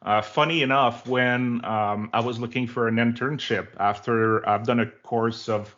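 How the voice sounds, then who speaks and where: A man's voice: medium pitch, fairly even intonation, measured pace, fairly bright tone. A man in his forties from Quebec, a native French speaker with a Québécois French accent, chatting as an interview guest in a friendly, talkative way full of fillers. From Quebec, Canada. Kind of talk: casual interview chat. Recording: lo-fi online call audio.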